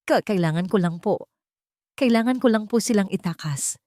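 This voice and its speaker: Woman voicing high, trembling, pleading housemaid. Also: expressive, moderate pace, warm tone, no echo, clean studio sound, natural voice. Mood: frightened pleading. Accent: Filipino. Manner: stammering, trembling. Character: frightened, pleading young housemaid on the run.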